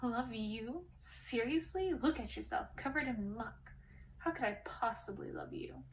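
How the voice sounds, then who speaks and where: A woman's voice: a little high, lively intonation, measured pace, distant mic, slight background noise, low-quality recording. A woman voicing an arrogant, scornful young prince from a storybook. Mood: disgusted. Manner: haughty, demanding, scornful.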